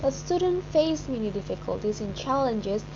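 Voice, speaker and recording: A woman's voice: fairly high pitch, moderate pace. Young Indonesian woman reading a presentation. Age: young adult. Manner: steady, careful reading of a script. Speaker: student presenter. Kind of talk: read-aloud academic presentation. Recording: dull recording with clear background hum.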